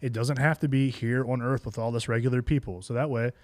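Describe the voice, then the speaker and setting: A man's voice: medium pitch, steady tone, fast, a little mellow, dry recording. A man co-hosting a podcast, chatting casually and enthusiastically in loose slang about movies. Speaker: podcast co-host. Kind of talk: casual banter about video game movies.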